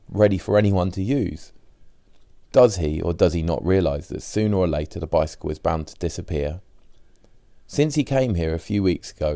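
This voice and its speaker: A man narrates a personal diary as an audiobook. His voice is extremely deep and soft-edged, with a dark, mellow tone. The sound is dry, with hardly any room around it. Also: dry recording.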